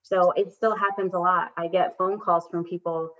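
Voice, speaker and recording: Woman coach talking earnestly, bit low pitched, dull lo-fi sound. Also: little pitch movement, moderate pace, a bit bright. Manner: earnest, reflective, many fillers and restarts. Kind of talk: podcast interview guest. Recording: dull lo-fi recording.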